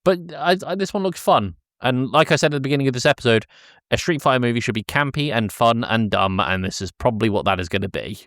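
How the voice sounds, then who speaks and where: A man's voice: medium pitch, expressive, quick pace, hardly any pauses, fairly bright tone, no room sound, studio quality. A man chatting casually about films as a podcast co-host. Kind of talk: casual film discussion chat.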